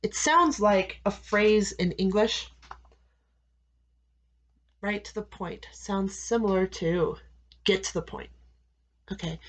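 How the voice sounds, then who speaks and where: Slow, deliberate American woman teacher. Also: mid-range voice. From United States. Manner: slow, deliberate, repeats key phrases with frequent "okay?" checks. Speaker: English teacher. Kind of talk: classroom advice talk to students.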